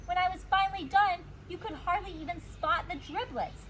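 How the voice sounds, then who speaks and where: A woman reading a children's storybook aloud like a teacher, expressive and emphasizing her words. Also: extremely high pitch, normal speed, a little hiss or hum. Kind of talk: animated storybook read-aloud.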